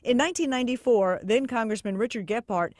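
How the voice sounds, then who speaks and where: An American woman narrates a scripted TV news investigation. Her voice is on the high side, and the sound is very dry and close, with no room around it. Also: fast, a little mellow, no room sound. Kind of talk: scripted news report voice-over.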